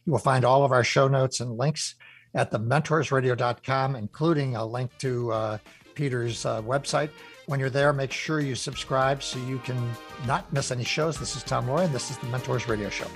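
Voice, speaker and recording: A man's voice: mid-range voice, normal speed, soft background music. A man hosting a radio interview show, steering the talk toward the next segment.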